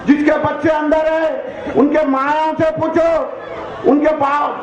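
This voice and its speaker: A man's voice: very high voice, level tone, slow pace. A man with a Hyderabadi Deccani accent giving an impassioned, exhortative political speech at a public meeting, repeating his appeals in colloquial phrases. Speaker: political speaker. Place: public meeting, loudspeaker address. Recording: echoing PA system, dull lo-fi, crowd.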